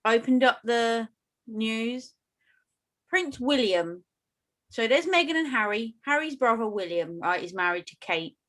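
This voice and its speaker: A woman's voice: on the high side, animated, unhurried, fairly bright tone. Tired woman, chatty. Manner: chatty, colloquial, wry. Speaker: podcast co-host. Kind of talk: casual chat.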